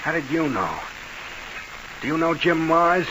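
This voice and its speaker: A man's voice: on the high side, expressive, unhurried. An actor playing a haunted, defensive nightclub manager in an old radio mystery, talking in jumpy, clipped tough-guy slang. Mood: suspicious. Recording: noisy, hissy old radio broadcast.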